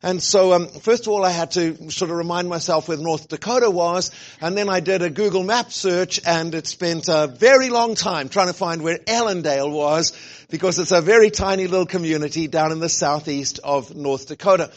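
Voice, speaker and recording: A man's voice: a little high, normal speed. A middle-aged man giving an informal, joking sermon talk as a guest speaker, with many fillers. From grew up where rugby is played. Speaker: guest speaker, college president.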